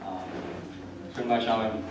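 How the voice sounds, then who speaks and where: A man from Philadelphia, a police officer, introducing himself haltingly at a public meeting. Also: fairly low pitch, fairly even intonation, distant mic. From Philadelphia, United States. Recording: very echoey, noisy room from afar.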